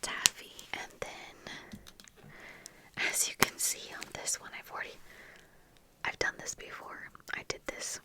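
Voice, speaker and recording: A woman in her thirties whispering softly and breathily in a casual ASMR chat, unhurried and pausing, close to the microphone in a small room. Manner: soft, unhurried, pausing while doing makeup. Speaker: ASMR video creator. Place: small dim indoor room with bookshelves. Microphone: close fur-covered microphone on desk, monitored on earphones.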